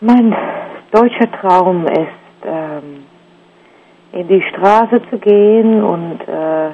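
A German woman in her forties answering interview questions thoughtfully, pausing often with fillers and restarts. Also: lowish voice, muffled recording, a little hiss or hum. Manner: hesitant, many fillers and restarts. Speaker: interview guest, artist.

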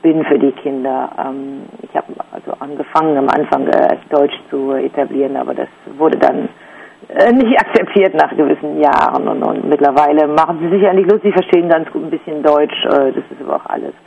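A German woman in her forties talks slowly and reflectively, often stopping on "äh" and starting over. Her voice has a gravelly, creaky edge. It comes through a dull, muffled line with a faint hiss underneath. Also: deep voice. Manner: hesitant, many fillers and restarts. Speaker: interview guest, artist. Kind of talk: reflective interview answers.

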